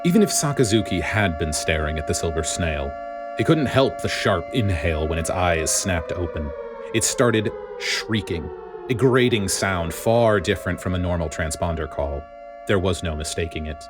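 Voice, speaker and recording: A man reading a story aloud as dramatic scripted narration, in a grave, deliberate manner. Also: low voice, expressive, music in the background. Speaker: podfic reader.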